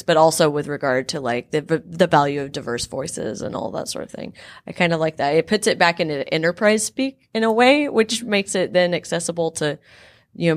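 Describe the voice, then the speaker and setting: A woman moderates a panel in a curious, affirming, conversational way. Her voice is very low for a woman. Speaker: host.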